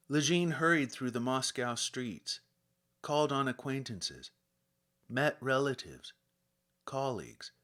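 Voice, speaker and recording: Man, literary audiobook narration, very slow pace, animated pitch movement, expressive, dramatic.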